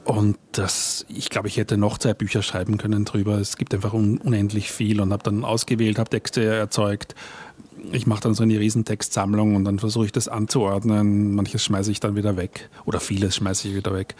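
A man, talking reflectively as an author and audio artist in an interview on a festival stage, often pausing to search for words. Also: lowish voice, a little mellow, a little hiss or hum. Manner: thoughtful, searching for words, trailing off.

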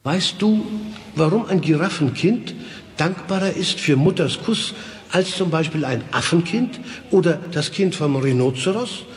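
A man's voice: a little high, expressive, unhurried. A man, a poet, reciting his own playful rhyming verse and talking about writing it, on an old archive recording. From Germany. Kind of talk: archive interview and poetry reading.

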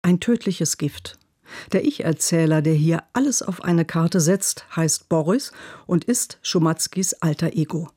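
A woman's voice: low-pitched, measured pace, mellow, slightly rough, dry recording, clean studio sound. A woman narrating a scripted radio book review in a composed, literary manner. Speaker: literary critic.

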